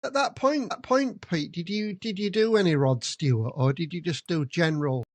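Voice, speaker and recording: A man's voice: fairly high pitch, normal speed, no echo. A man interviewing a guest in casual chat, matey and encouraging, with hesitant fillers.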